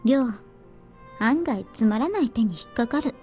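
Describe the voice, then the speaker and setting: A woman voicing a quiet, earnest, bluntly affectionate young heroine in a Japanese visual novel. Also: a little high, expressive, fast, lightly acted. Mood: deadpan reproach. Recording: music under the voice. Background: background music.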